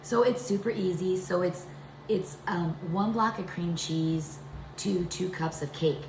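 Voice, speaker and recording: A middle-aged woman talks casually through a home recipe. Her voice is deep and congested from a chest cold, with a gravelly creak. The kitchen around her is echoey. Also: measured pace, darkish tone. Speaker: home cooking video host. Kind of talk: casual cooking demonstration talk. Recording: echoey room with background noise.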